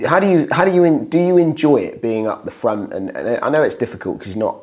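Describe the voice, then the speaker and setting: A man hosting a casual chat, his voice croaky, often repeating himself and restarting. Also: mid-range voice, sing-song, fast, darkish tone. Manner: rambling, repeats words and restarts. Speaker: host.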